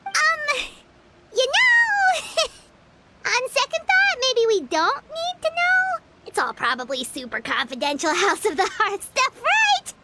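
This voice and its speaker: A woman voicing a small, excitable girl fairy companion in a video game, squeaky and childlike, given to exclamations. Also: slow, very noisy, exaggerated voice. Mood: nervous. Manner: exclamatory, refers to self in third person. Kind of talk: animated video game dialogue. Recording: music behind the voice.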